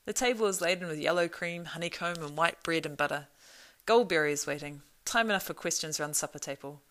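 A woman's voice: sits low, brisk, a bit bright. A woman voicing a jolly, boisterous old man of the woods from a storybook, with a rhyming, sing-song and exclamatory delivery. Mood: hearty, welcoming. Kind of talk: storybook character voice.

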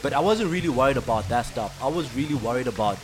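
Mellow-voiced co-host. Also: male voice, mid-range voice, expressive, quick pace, over music, rough recording. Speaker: podcast co-host. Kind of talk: casual chat.

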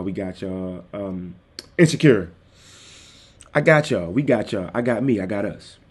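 Male podcast host, calm and easygoing, mellow low voice, desktop mic. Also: breathy edge. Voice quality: calm, soothing voice. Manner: easygoing, addresses listeners directly. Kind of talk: casual solo podcast talk. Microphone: desktop USB microphone.

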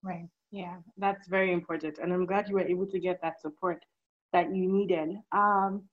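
A woman's voice: on the low side, steady tone, normal speed. Young woman interviewer, slightly muffled audio. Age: young adult. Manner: encouraging, full of affirmations. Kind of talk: casual interview hosting.

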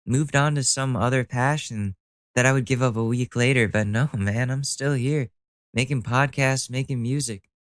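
A man's voice: medium pitch, no echo, studio quality. A young man hosting a solo podcast, talking casually with a stuffy nose, rambling and joking at his own expense with the odd swear word. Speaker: podcast host.

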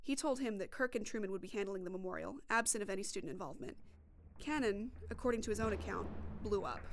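A woman's voice: medium pitch, background noise. A young woman reporting and narrating a scripted documentary podcast.

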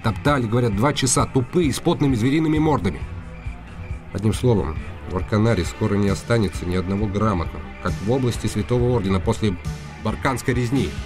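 A man's voice: fairly low pitch, fast, music in the background, close to an everyday voice. An actor playing a passionate, impatient young nobleman in a radio drama, speaking earnestly and insistently. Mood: heated, urgent. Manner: earnest, insistent, formal address.

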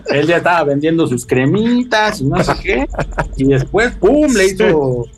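A man's voice: on the high side, very animated, moderate pace. A man with a Mexican accent chatting casually as a podcast guest, slangy and jokey. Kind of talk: casual chat.

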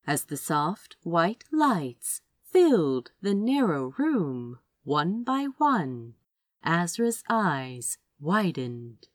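Female audiobook reader, expressive storytelling, measured pace, close dry recording. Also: on the low side, no echo. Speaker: audiobook reader of fan fiction. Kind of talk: expressive scripted story narration.

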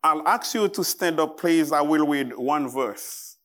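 A man with a Haitian accent preaching as a guest in church, speaking in short, deliberate phrases with halting pauses. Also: a little high, animated, a bit bright. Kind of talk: sermon to a church congregation.

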